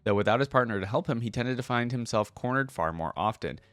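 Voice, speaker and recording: A man's voice: mid-range voice, expressive, quick pace, fairly bright tone, no echo. A man hosting a casual reaction video, moving between reading aloud and informal conversational commentary. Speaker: reaction channel host.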